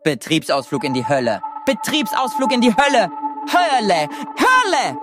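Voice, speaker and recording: Very high-pitched mocking menace. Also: male voice, huge pitch swings, normal speed. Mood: gleeful menace. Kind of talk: theatrical audio drama acting. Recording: music behind the voice.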